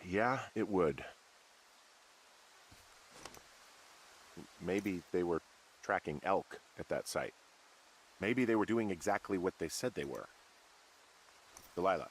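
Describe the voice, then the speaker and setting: Low-voiced, weary American man. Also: lively intonation, slight background noise, rough recording. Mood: doubtful, defensive. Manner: halting, muttering, conversational. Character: weary, uneasy fire lookout man, plain-spoken. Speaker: video game character. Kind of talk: naturalistic game dialogue.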